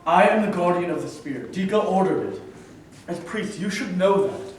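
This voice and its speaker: A man's voice: sits high, lively intonation, normal speed, a little hiss or hum. A man reading the part of a stubborn, self-righteous caveman king in a staged play reading, with stiff, solemn pronouncements. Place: theater stage. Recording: echoey room, mic far off.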